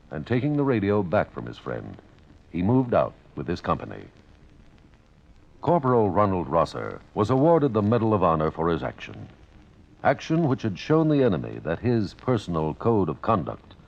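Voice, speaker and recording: An American man delivering scripted 1950s radio narration as an announcer, measured, solemn and dramatic. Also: deep voice, gravelly. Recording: dull 1950s radio recording.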